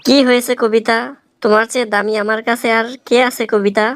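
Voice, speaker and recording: A woman playing a placating, boastful husband in a comic cartoon, coaxing and flattering with a rural Bangladeshi accent. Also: fairly high pitch, fairly quick, background noise, natural voice. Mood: exasperated pleading. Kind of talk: melodramatic comic cartoon dialogue.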